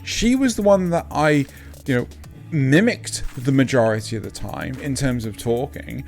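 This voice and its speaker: A man with a Kent English accent chats on a podcast in a mid-range voice, animated and emphatic, often checking in with "right?". Soft music plays faintly behind him. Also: medium pitch, normal speed. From Wales. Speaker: podcast co-host. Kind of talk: casual chat.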